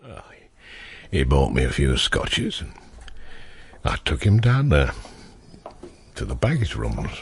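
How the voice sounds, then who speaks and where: A battered, weary working bloke in a radio play. His voice is extremely low and full of creak, slow and halting, with a bitter edge. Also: huge pitch swings, exaggerated voice. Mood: shaken, weary. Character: weary, battered, shaken working-class man recounting an attack. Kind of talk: radio drama acting.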